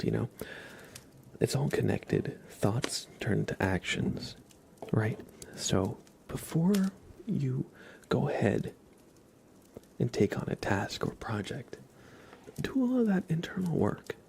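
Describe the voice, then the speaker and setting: A man hosting an ASMR livestream, talking softly and intimately to his chat and often slipping into whispers, close to the microphone. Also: mid-range voice, vocal fry, a little hiss or hum. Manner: gentle, drawn-out delivery slipping into whispers.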